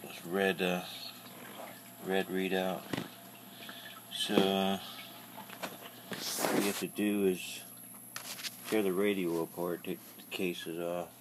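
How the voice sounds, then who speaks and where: A man talking casually through a hands-on repair demonstration, unscripted, in a plainspoken, halting, matter-of-fact way. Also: sits low, little pitch movement, heavy background noise. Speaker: hobbyist demonstrating a radio repair. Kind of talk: casual unscripted how-to narration.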